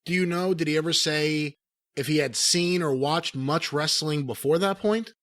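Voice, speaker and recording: Slightly high-voiced male podcast host. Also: normal speed, fairly bright tone. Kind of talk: interviewer asking questions.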